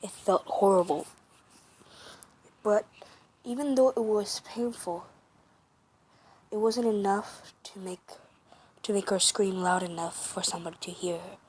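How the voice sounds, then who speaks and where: A woman narrating a fan story for her first amateur comic dub, halting with many restarts and self-corrections. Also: medium pitch, steady tone, darkish tone, slightly rough. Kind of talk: reading a fan story aloud.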